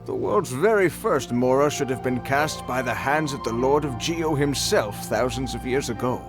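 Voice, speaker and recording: A man's voice: mid-range voice, normal speed, close to an everyday voice. A man voicing an earnest scholar of local lore in a video game cutscene, explaining and pressing his point insistently. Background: clear game music.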